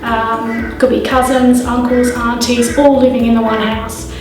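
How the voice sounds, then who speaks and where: A middle-aged Australian woman with a higher, quite even voice speaks gently and pauses on ums. She is recorded from a distance in an echoing room, with music behind her. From Gold Coast, Australia. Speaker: school staff member. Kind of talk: personal introduction to camera. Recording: echoey room, distant mic, background music.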